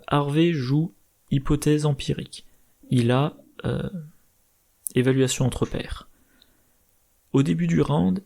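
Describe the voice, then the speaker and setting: A man with a slightly hoarse, gravelly voice walks through card game strategy in a methodical, explanatory way. He thinks aloud as he goes, with small hesitations and self-corrections. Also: mid-range voice, a little mellow. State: slightly hoarse, irritated throat. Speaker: board game channel host.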